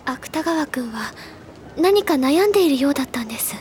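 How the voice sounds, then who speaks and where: A woman's voice: high voice, animated, fairly quick, darkish tone, a little hiss or hum. An actress playing an earnest, composed teenage schoolgirl in audio drama dialogue. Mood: concerned, probing.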